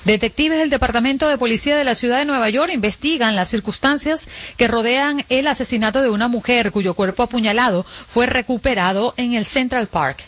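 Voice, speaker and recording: A woman's voice: fairly high pitch, dry recording. Playful Venezuelan woman radio host. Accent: Venezuelan, mixed with Caribbean Spanish. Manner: playful and teasing in chat, brisk and even when reading headlines. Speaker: radio morning show host. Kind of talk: lively morning radio chat and news reading. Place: radio studio. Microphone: studio microphone.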